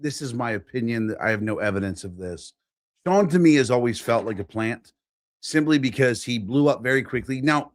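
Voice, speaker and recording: A man's voice: mid-range voice, no echo, clean studio sound. A man from Philadelphia, a guest on a talk show, giving his opinions frankly and with emphasis. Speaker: podcast guest. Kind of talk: casual political chat.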